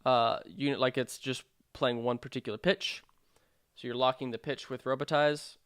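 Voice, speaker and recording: A man narrating a software tutorial in an informal, explanatory way with hesitant phrasing. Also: medium pitch, fairly even intonation, normal speed. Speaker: sound design tutorial presenter.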